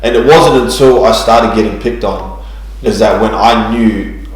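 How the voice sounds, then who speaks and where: A man tells his story slowly, full of ums and restarts. His voice sits a little low. It rings through a very echoey room. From New Zealand. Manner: hesitant, many ums and restarts. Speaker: guest sharing testimony. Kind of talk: church testimony interview.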